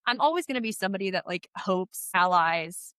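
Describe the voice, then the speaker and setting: A woman co-hosting a casual podcast chat, chatty and animated, sounding stuffy from a head cold. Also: mid-range voice, a little fast, smooth, no echo. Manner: chatty, animated, laughs often. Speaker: podcast co-host.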